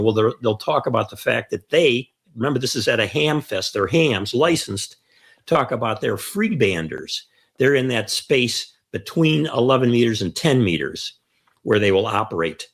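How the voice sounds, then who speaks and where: A man's voice: medium pitch, gravelly. An older man with an American accent, chatting through an explainer as host and stressing key phrases. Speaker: host of a ham radio show.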